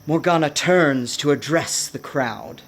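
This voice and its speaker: A woman's voice: deep voice, a little slow, slight background noise. A woman narrating a radio play from a script, setting out each scene as storyteller. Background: music.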